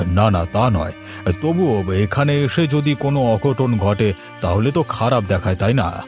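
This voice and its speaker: A man's voice: lowish voice, animated, a little slow, darkish tone, faint music behind. A man playing a cautious, worried village relative in a cartoon story. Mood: concerned.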